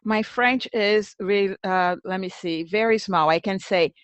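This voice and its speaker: Woman host doing an informal webinar, chats and thinks out loud, mid pitch, very dry sound. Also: a little slow, no room sound. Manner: conversational, thinking aloud, addressing attendees by name.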